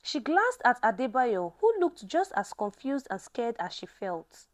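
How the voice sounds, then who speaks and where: A woman tells a dramatic story from a script. Her voice sits high, and her pitch moves widely as she narrates. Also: very animated, a little slow.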